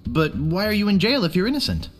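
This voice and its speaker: A man's voice: high-pitched, sing-song, fast, soft background music. A man playing a naive young would-be pirate in a video game, earnest and a little sarcastic. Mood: curious, earnest.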